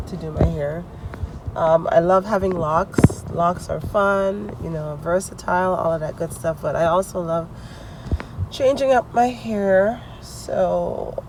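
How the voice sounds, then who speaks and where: A congested woman chatting casually in a vlog, talking her plans through out loud. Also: on the low side, noisy background. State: congested from allergies. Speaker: vlogger. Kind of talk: casual vlog chat to camera.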